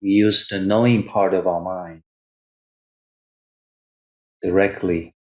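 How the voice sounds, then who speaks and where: A man guiding meditation as a teacher, speaking in short, measured phrases with long pauses between them. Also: deep voice, steady tone, darkish tone. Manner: refers to himself in third person as teacher. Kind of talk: guided meditation instruction.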